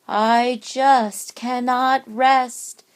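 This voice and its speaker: Woman as a slow, plaintive lady ghost. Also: fairly high pitch, level tone, clean tone, pitched above the performer's own voice. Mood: plaintive, guilty. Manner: drawn-out, plaintive. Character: restless, guilt-ridden female library ghost.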